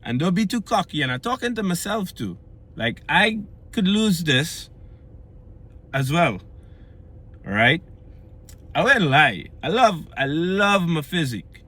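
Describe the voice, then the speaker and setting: A man's voice: a little high, big pitch swings, measured pace, pauses often, pushed and bright. A man from Trinidad and Tobago with a Trinidadian accent, talking earnestly in a casual vlog about losing weight and keeping it off, often checking in with "right?". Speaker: fitness vlogger.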